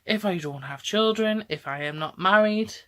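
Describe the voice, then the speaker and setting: A woman's voice: medium pitch, normal speed. A woman, talking casually to camera in a rambling, chatty way, often tagging on "okay?" and laughing. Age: 20s. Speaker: vlogger.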